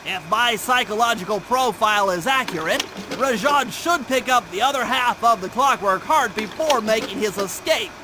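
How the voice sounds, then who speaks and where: High-pitched, pedantic nerdy turtle strategist. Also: male voice, expressive, normal speed, background noise. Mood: serious briefing. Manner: precise, pedantic, wordy explaining. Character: brainy, fussy nerdy planner turtle, proud strategist. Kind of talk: video game cutscene acting.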